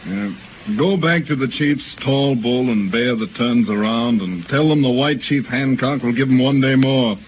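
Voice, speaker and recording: An actor playing a seasoned, authoritative army general in deliberate 1930s radio style. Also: male voice, medium pitch, lightly acted. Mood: stern. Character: seasoned, measured army general, firm but conciliatory. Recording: tinny 1930s radio recording, faint noise.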